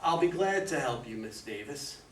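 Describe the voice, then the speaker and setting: A man's voice: medium pitch, lively intonation, moderate pace, a bit bright, natural voice. A man playing a reassuring professional consultant with an air of authority in an amateur play reading. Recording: echoey room, distant mic, faint noise.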